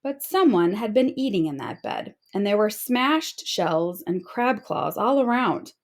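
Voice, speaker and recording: A woman narrating a children's storybook aloud in an expressive storytelling manner. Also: mid-range voice, unhurried. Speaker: storybook reader.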